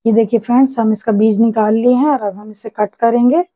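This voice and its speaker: A woman's voice: a little high, fairly even intonation, brisk, warm tone, a little hiss or hum. A woman hosting a cooking channel, patiently walking viewers through a recipe step by step and often calling them friends. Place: home kitchen.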